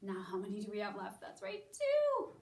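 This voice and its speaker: A woman hosting story time for young children, speaking in a sing-song, encouraging way and pausing as if for their answers. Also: medium pitch, wildly swooping pitch, vocal fry, darkish tone. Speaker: children's story time host at a public library. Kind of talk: children's storytelling and singing, addressing young listeners. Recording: roomy echo, distant microphone.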